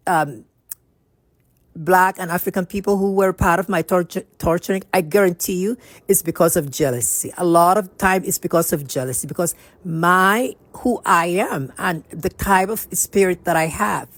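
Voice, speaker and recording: A woman's voice: fairly low pitch, no room sound. A woman from Somalia giving a personal monologue as a vlogger, speaking emphatically and repeating phrases for stress. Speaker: vlogger telling her own story.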